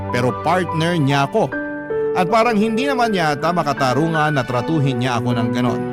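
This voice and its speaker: A man narrating a listener's letter as a radio drama host, in a scripted dramatic style. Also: medium pitch. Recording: loud music behind the voice. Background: loud musical backing.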